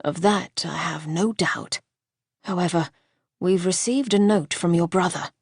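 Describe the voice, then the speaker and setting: A woman voicing a gravely ill gentleman who speaks softly and tenderly in a lowered, hushed voice. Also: unhurried, dry recording, clean studio sound.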